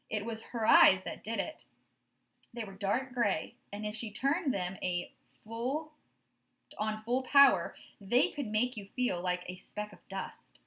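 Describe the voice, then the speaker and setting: A woman reading a children's book aloud like a teacher, in expressive, measured storytelling with stressed phrases. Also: mid-range voice.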